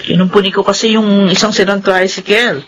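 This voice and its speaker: Radio drama: actress as an earnest tomboyish young woman, even-toned. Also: medium pitch, fairly quick, muffled recording, a little hiss or hum, lightly acted. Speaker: lead character. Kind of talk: Filipino radio drama acting.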